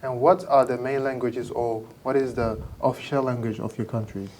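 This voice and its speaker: Fast-talking podcast host. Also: male voice, medium pitch, steady tone, a little mellow, a little hiss or hum. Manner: quick, upbeat, echoes guests' words and laughs. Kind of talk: casual interview chat.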